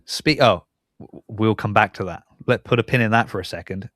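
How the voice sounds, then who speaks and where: A man's voice: fairly low pitch, a little fast, fairly bright tone, no echo, studio quality. A man hosting a podcast in a casual, conversational interview style. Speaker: podcast host.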